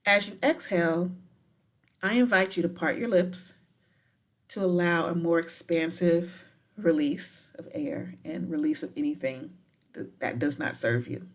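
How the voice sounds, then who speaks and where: A woman guiding a meditation in a deliberate, unhurried manner with frequent pauses. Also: lowish voice. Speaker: professor guiding a breathing meditation. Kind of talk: guided meditation with spoken poetry.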